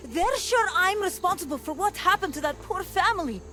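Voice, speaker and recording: A woman's voice: extremely high pitch, lively intonation, a little fast, sharp tone, exaggerated voice. An actress playing an anxious, starstruck young woman in a video game, gushing and pleading.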